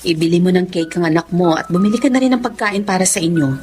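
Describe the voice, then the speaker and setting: A woman's voice: lowish voice, fast, darkish tone, close to an everyday voice. A woman playing a caring, easily panicked young mother and employer in a Filipino TV melodrama, with a Filipino accent. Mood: gentle, grateful. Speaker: actor in a drama. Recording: clearly noisy location sound.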